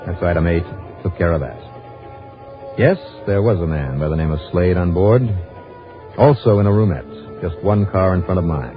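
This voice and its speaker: An actor playing a wry, tough insurance investigator in American 1950s radio noir style, crisp and deadpan. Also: male voice, deep voice. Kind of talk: 1950s radio noir acting, first-person narration. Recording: dull old radio recording with music.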